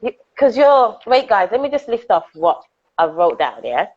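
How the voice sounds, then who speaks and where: A woman hosting a casual live-stream interview, chatty and excitable, greeting viewers by name. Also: medium pitch, normal speed, husky. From United Kingdom. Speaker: host. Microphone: phone on a live video call. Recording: dull lo-fi live-stream audio.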